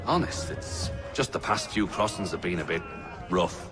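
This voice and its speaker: A level-headed, friendly sailor in a video game. His voice is slightly low and very animated in pitch, frank and conversational, with music behind. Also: moderate pace. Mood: affable. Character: affable, level-headed sailor, peacemaker among the crew.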